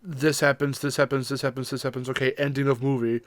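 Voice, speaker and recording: A man chats casually as a podcast co-host at a middling pitch. He feels his way through his thoughts, rephrasing as he goes. The sound is dry, with very little room echo. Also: little pitch movement, fast talker.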